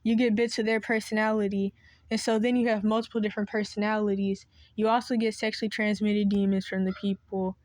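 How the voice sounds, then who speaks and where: A woman's voice: medium pitch, flat delivery, fairly quick, darkish tone, no room sound. A woman talking straight to camera in a meandering, unscripted monologue, often losing her train of thought and starting over, her voice cracking. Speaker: video creator.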